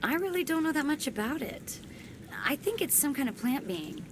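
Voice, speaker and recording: Anxious young female researcher, highish voice. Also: sing-song, moderate pace, background noise. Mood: uneasy, hesitant. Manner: hesitant, earnest. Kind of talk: video game voice acting.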